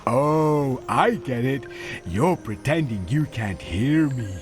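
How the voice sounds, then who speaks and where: A man's voice: medium pitch, expressive, extremely slow, loud music behind the voice. A male actor voicing a dimwitted, playful, friendly little cartoon creature with a naive, childlike manner. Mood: puzzled, naive. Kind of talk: cartoon video game acting.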